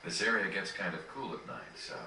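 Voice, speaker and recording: Calm rebel leader, low pitch, measured, video game, roomy noisy distant capture. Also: male voice. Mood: calm, serious. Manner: measured, serious. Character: calm, serious young male rebel leader.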